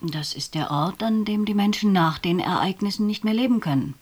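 A woman playing a witness in a radio play interview, hushed and factual, with a husky voice. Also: mid-range voice, fairly quick, lightly acted. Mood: hushed, grave.

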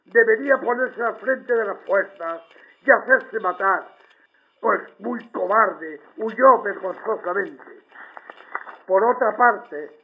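Pompous high-voiced fascist radio general. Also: male voice. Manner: pompous, taunting. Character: boastful, scornful fascist general on wartime radio. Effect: old radio broadcast filter. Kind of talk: 1930s radio propaganda speech. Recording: dull old radio broadcast sound.

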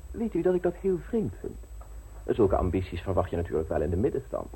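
An actor in a radio play voicing a suave, scheming gentleman with a polished, ironic, wordy manner. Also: deep voice, huge pitch swings, fairly quick, breathy edge, heavily acted, low-quality recording. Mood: teasing. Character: suave scheming gentleman political fixer, urbane and teasing.

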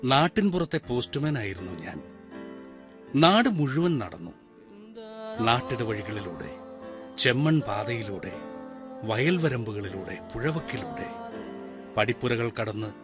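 Middle-aged village postman, animated delivery, reflective radio monologue. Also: lowish voice, a little slow, pauses often, over music. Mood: reminiscing. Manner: talks straight to the listeners, musing and lamenting. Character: retired village postman, wistful, chatty, brooding. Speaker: actor in a one-man radio play. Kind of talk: 1990s-style radio monologue acting.

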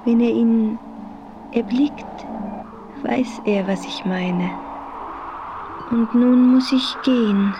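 Very slow, entranced madwoman. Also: fairly high pitch, muffled recording, noisy background. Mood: dreamy, secretive. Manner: halting, entranced, breaking into whispers. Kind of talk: 1970s German audio drama acting.

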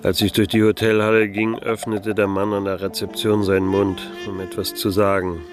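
A man's voice: fairly low pitch, flat delivery, moderate pace, faint music behind, lightly acted. An actor playing a disillusioned, wry male private detective in a noir radio drama, speaking in a dry, laconic manner. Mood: wry, reflective. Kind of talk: noir radio drama, first-person narration.

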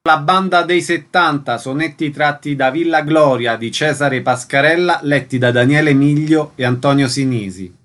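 A man's voice: a little high, normal speed, few pauses, fairly bright tone, faint music behind. A man reading a scripted radio programme announcement.

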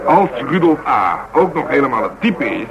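Grumbling Dutch police detective. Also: male voice, medium pitch, big pitch swings, normal speed, creaky, a crowd in the background, rough recording. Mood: probing, speculative. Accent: Netherlands Dutch. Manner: colloquial, grumbling, speculative. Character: gruff, gluttonous, self-justifying male police detective. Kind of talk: naturalistic radio drama dialogue.

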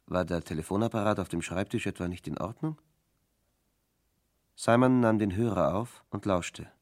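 A man narrating a German radio crime drama from a script in a measured, suspenseful manner. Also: on the low side, lightly acted.

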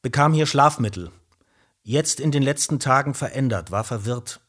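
An actor playing a clinician reading patient notes in clipped, husky phrases over music in a radio play. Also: male voice, medium pitch, expressive, normal speed, fairly bright tone. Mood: detached. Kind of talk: scripted record reading.

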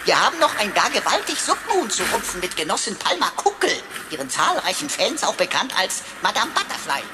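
A woman's voice: mid-range voice, very animated, brisk, music in the background, close to an everyday voice. A woman playing a cheeky, bossy talking pocket computer with mocking mock-naval bluster in a sci-fi radio drama. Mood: mocking, impatient. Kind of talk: German sci-fi radio crime drama acting.

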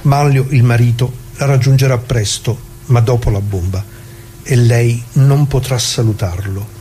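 Man acting anguished everyday guy telling his story in a radio play, soft mellow voice, halting, bit of noise behind. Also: medium pitch, steady tone, natural voice. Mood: sorrowful, confiding. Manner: halting, musing, rhetorical questions, repeats himself. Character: anguished ordinary man in the square, plain-spoken antifascist witness. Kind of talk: theatrical monologue, conversational radio storytelling.

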